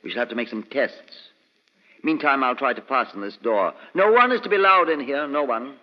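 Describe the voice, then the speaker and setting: Man acting an authoritative, animated doctor. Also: medium pitch, fairly quick, close to an everyday voice. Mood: grave, commanding. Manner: commanding, clipped. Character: authoritative, decisive male doctor. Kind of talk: old-time radio mystery acting. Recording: muffled old radio recording.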